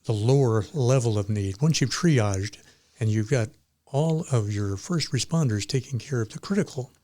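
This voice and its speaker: A man with a dark, mellow voice gives measured explanations as an interview guest. The sound is dry, and he talks conversationally as a club member. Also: mid-range voice, no echo. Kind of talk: casual interview talk.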